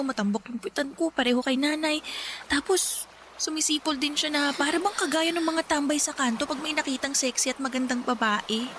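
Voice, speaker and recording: An actress playing a timid, uneasy teenage younger sister, hesitant and pleading, in a radio drama. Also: female voice, sits high, slight background noise, lightly acted. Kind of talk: Filipino radio drama acting.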